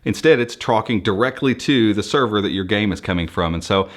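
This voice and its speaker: A man's voice: on the low side, expressive, brisk. An American man presenting for a tech channel, explaining things to viewers in an enthusiastic, conversational, unscripted way.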